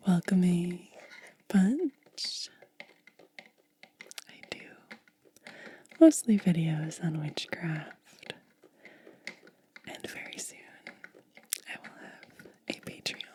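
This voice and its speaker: A woman hosting ASMR, speaking softly in a breathy, hushed whisper and lingering over short phrases. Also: lowish voice, very slow, pauses often, a little hiss or hum. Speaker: ASMR video host. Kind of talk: soft-spoken ASMR.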